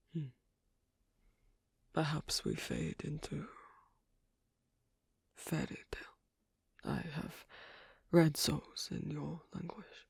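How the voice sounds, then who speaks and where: A performer playing a shy, bookish, isolated swan king in intimate ASMR roleplay, speaking halting English with a foreign accent in a creaky half-whisper. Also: mid-range voice, no echo, heavily acted. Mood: puzzled, pensive. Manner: halting, hesitant, clipped non-native phrasing.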